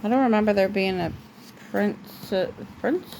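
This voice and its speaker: A woman's voice: mid-range voice, moderate pace, gravelly, a little mellow. A woman reading a storybook aloud as a parent at home, with casual asides and the odd stumble over words. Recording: noisy home recording.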